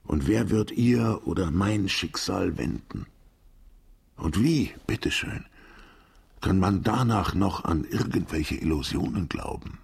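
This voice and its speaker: World-weary enigmatic writer in a radio play, super husky creaky voice, slow philosophical formal talk. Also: male voice, low voice, animated, a little mellow, heavily acted. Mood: melancholy. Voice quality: gravelly, husky. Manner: deliberate, philosophical, formal speech with pauses. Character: world-weary, fatalistic male writer, once left for dead, brooding and enigmatic. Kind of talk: literary radio drama acting.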